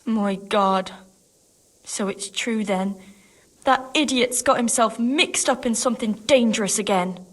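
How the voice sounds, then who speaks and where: A woman's voice: on the high side, unhurried, no room sound, low-quality recording. An actress playing a protective, worried young peasant woman in a video game, guarded and plain-spoken. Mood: dismayed. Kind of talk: video game cutscene acting.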